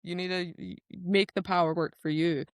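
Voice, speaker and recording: A woman podcast host chats casually about books in a slightly low-pitched voice. She is emphatic and gushing, full of informal asides. The sound is close and dry, with little room on it. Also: steady tone, normal speed, no room sound. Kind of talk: casual book discussion chat.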